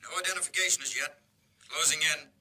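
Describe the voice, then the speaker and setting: An actor playing a brisk interceptor commander with clipped military phrasing in a 1950s radio drama. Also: male voice, a little high, steady tone, pushed and bright, breathy edge, heavily acted, rough recording. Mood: tense, brisk. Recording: vintage 1950s radio recording.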